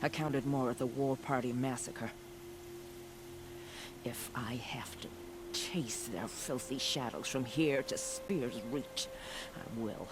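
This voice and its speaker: A man's voice: medium pitch, slow, close to an everyday voice. Man playing a proud, bitter war chief, noisy backdrop. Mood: grim, defiant. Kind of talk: dramatic video game acting.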